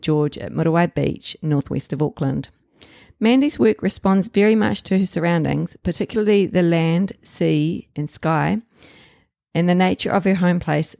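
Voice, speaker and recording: A New woman interviewing a friend, warm and hesitant with frequent ums. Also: lowish voice, gravelly, no echo, clean studio sound. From New Zealand. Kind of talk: casual interview.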